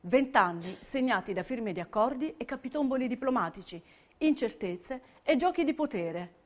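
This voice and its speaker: A woman's voice: a little high, lively intonation, moderate pace. A woman narrating a scripted theatre piece with emphatic, dramatic storytelling. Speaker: stage narrator.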